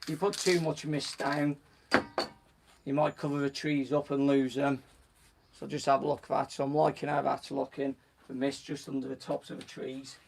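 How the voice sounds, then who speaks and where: Croaky man talking through a painting. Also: medium pitch, normal speed. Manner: relaxed, talks while working, frequent "so" and "just gonna". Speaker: painting instructor on a live stream. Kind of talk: casual step-by-step demonstration. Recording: voice from a distance from the mic, faint background noise.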